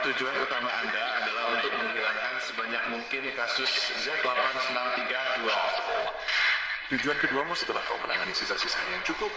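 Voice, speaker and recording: A man playing a coolly formal corporate mission handler, giving measured, scripted briefings in a video game. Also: medium pitch, recorded from a distance, close to an everyday voice, rough recording. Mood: formal, businesslike.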